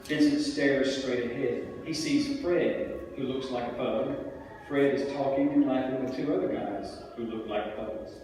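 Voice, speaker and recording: A man's voice: medium pitch, fairly even intonation, unhurried, a little mellow. A man narrating stage directions from a screenplay at a live table reading. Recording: echoey hall from far off, music behind.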